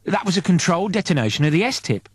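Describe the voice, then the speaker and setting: A man's voice: high voice, sing-song, fast talker, breathy edge, no room sound, pitched above the performer's own voice, exaggerated voice. A man voicing a weary, sardonic male scientist in an audiobook. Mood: dry, patient. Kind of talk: audiobook character voice.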